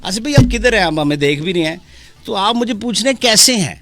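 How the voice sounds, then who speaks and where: A man's voice: sits high, animated, a bit bright, faint music behind. Playful radio host, man. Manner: playful, chatty, breaks into bits of song. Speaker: radio host taking calls and reading messages. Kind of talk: casual, jokey live radio chat. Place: radio studio.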